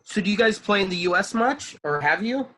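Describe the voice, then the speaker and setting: A man co-hosting a casual podcast, asking his guests relaxed, curious questions. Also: sits high, fairly quick, slight background noise, rough recording.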